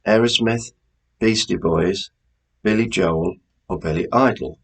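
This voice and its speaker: A man hosting a quiz, reading out questions and answers in a deliberate, measured way with informal asides. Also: fairly low pitch, slightly rough, poor audio. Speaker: quiz host.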